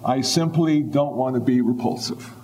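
A man's voice: medium pitch, moderate pace, mellow, poor audio. A man in his seventies giving a humorous Buddhist dharma talk, easygoing and wry. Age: 70s. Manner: easygoing, wry, acts out little voices. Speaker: Buddhist teacher.

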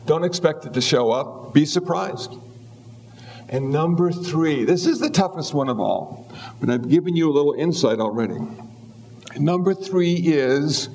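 A man's voice: fairly high pitch, a little mellow. Wry seventy-something man teaching Buddhism. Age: 70s. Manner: easygoing, wry, acts out little voices. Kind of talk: humorous dharma talk.

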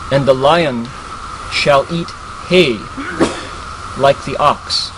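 A man solemnly reading scripture and verse at a ceremony, pausing between short phrases. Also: on the high side. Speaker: reader at a monastic ceremony. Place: gathering at a hermitage. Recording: clear background hiss and ambient noise.

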